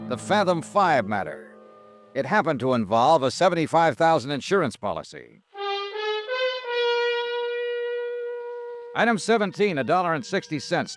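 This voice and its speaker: Picture a hard-boiled American insurance investigator in a 1950s radio serial. His delivery swings widely in pitch, with a shrewd, dryly wry edge. It is an old broadcast recording with a little music behind. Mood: brisk, narrating. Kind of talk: 1950s radio detective drama acting.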